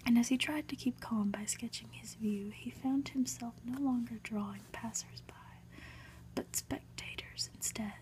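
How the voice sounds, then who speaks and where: A woman narrating a storybook in a breathy ASMR whisper, reading gently and deliberately. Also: on the high side. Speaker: ASMR reader. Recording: faint background noise.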